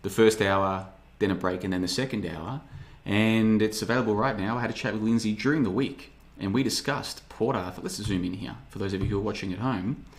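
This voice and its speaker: A man's voice: on the low side, fairly quick, hardly any pauses. Sarcastic man in his thirties. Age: 30s. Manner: rambling, sarcastic, slips into mocking voices. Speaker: podcast host. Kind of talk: casual podcast monologue reading live chat.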